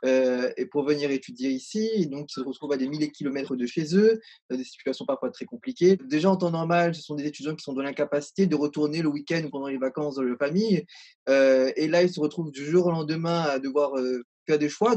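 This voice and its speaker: A young man speaks from a room on a video call. His voice is a bit high and husky, and he lays out his points formally and earnestly. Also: steady tone, brisk, a little mellow. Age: 20s. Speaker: guest, student. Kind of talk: articulate debate contribution.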